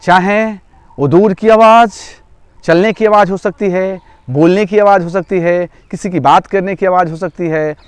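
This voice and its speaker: A man's voice: high-pitched, moderate pace, smooth, no room sound. A man guiding a yoga nidra relaxation as instructor, giving repetitive, step-by-step instructions. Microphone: microphone through a loudspeaker.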